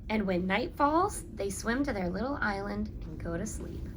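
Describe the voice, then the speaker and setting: A woman's voice: mid-range voice, expressive, normal speed, background noise. A woman reading a children's picture book aloud and speaking to young listeners in an instructive way. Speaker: story reader and reading teacher.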